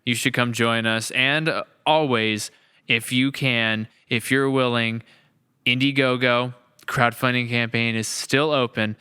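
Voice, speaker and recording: A man's voice: mid-range voice, fairly even intonation, unhurried, sharp tone, clean tone. A man hosting a podcast in casual chat, effusive and rambling as he talks. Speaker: podcast host.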